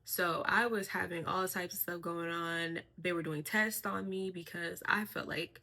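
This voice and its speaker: Bright-voiced young woman vlogging softly. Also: fairly low pitch, measured pace, creaky. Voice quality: monotone. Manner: soft-spoken, reflective, with frequent fillers and light laughs. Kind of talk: casual personal vlog.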